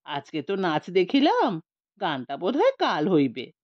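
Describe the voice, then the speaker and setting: A scornful, argumentative deaf man from a humorous storybook, acted out by a woman. The delivery is slow and hugely animated, exclaiming with stubborn certainty. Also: lowish voice, no room sound, lo-fi sound, full character voice. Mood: oblivious.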